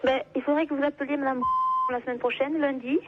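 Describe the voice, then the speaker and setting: Female receptionist, reserved, high-pitched, brief phone replies, dull line sound. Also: steady tone, quick pace. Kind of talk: phone conversation.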